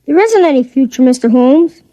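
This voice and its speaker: A woman's voice: high voice, animated, brisk, mellow, dry recording. A woman voicing an earnest six-year-old boy who patiently explains things, in a 1950s radio drama.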